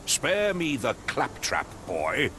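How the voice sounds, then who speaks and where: An actor playing a pompous, impatient middle-aged captain in a video game, with an English accent and clipped, condescending speech. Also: male voice, mid-range voice, wildly swooping pitch, slow, bright, forceful tone, slight background noise, heavily acted. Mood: impatient, irritated. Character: pompous, impatient male English captain, haughty wealthy master.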